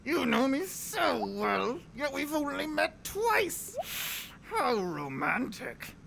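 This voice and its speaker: A man voicing a cunning, mad male super mutant prisoner in a fan audio drama, taunting his captors with slow, gravelly mockery. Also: very high voice, very animated, a crowd in the background, full character voice. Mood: mocking.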